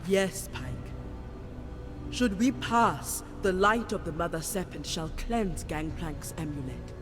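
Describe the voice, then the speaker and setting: Solemn, devout sea-goddess priestess for a fantasy game; unhurried, ceremonial delivery in a reverberant hall. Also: female voice, lowish voice. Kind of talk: dramatic game cutscene acting. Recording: reverberant hall with faint music behind.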